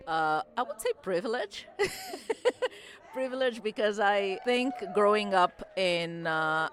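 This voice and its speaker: Low-voiced woman, laughing often. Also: a bit bright. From born in Romania, raised in Angola. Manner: reflective, laughs easily, nonnative phrasing. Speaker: interviewee at a festival. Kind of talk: conversational interview answers.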